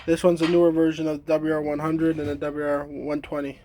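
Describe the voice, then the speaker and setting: A man giving a casual, unscripted demonstration as a hobbyist, halting and trailing off mid-thought. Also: on the high side, monotone, background noise. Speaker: hobbyist demonstrating a weather radio collection.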